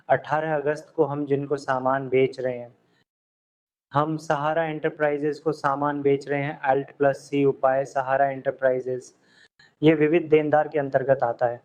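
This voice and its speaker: A man with a somewhat high voice walks the viewer through accounting software one step at a time. He often asks what comes next and then answers it himself, like a tutor talking over a screen recording. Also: dull audio. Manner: step-by-step instructions with questions to the viewer.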